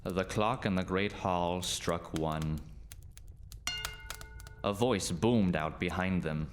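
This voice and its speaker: A man narrating a spooky radio play in a measured, dramatic storytelling style. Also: sits low. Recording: faint background noise.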